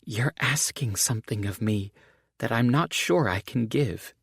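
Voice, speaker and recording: A man's voice: expressive, measured pace, creaky, husky, dry recording, studio quality, full character voice. A man voicing an anxious young woman. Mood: uneasy. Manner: higher, brighter voice for a woman's lines. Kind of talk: audiobook character dialogue.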